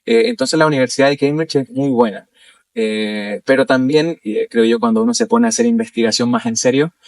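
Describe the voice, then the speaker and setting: A man's voice: medium pitch, expressive, a little fast, a little mellow, no echo, recorded from a distance. A young man from Chile with a Chilean Spanish accent, talking as an interview guest in a casual, hesitant way with many fillers and restarts. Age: young adult. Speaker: interview guest, doctoral student.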